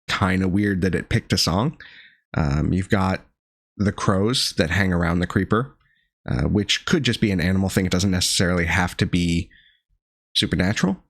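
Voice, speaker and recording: A man with a gravelly voice still recovering from being sick, reviewing a horror film with dry sardonic asides and frequent pauses. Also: deep voice, no echo. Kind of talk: casual video review monologue.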